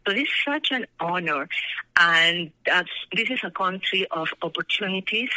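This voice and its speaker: Bright, sharp-voiced woman with Peruvian accent. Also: lowish voice, lively intonation, moderate pace, no echo, low-quality recording. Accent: Peruvian Spanish. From Peru. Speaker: radio guest, initiative sponsor. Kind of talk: earnest radio interview talk.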